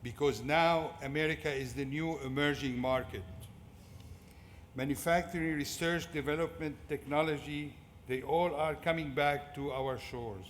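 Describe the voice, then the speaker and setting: A middle-aged man from Syria with a Syrian Arabic accent, giving a prepared motivational commencement speech in deliberate short phrases. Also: fairly high pitch, steady tone. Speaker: commencement speaker. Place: large indoor fieldhouse at a ceremony. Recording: echoey fieldhouse PA with clear background noise.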